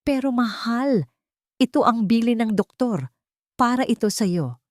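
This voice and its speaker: A cold, calculating wife who coats every word in false sweetness, put on by a woman narrator. Her voice rises higher and more lilting than the storyteller's own. The sound is dry and close. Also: lively intonation, unhurried, a little mellow, dry recording. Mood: falsely sweet. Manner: falsely tender, honeyed. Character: scheming sweet-talking woman, a cold stepmother.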